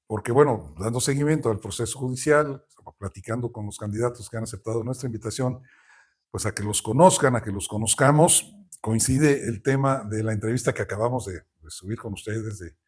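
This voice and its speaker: Husky Mexican host, relaxed talk. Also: male voice, lowish voice. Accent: Mexican Spanish. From Veracruz, Mexico. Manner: relaxed, conversational, with hesitant restarts. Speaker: interviewer host. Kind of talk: casual interview.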